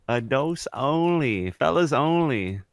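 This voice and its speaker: A man's voice: fairly high pitch, expressive, slow, a little hiss or hum. A young man co-hosting a podcast in casual chat, talking in a slangy, mildly profane way. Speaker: podcast co-host.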